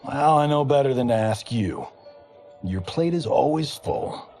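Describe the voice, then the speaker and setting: A brash middle-aged movie-star fighter, all joking showbiz swagger. His pitch swings lively up and down, with soft music under him in a game cutscene. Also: unhurried. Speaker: actor turned film director.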